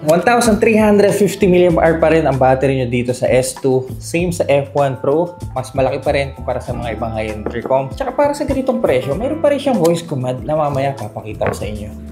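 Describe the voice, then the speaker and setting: A man with a Filipino accent giving a casual, conversational product walkthrough as a reviewer, explaining things and mixing in English phrases. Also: medium pitch. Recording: music behind the voice.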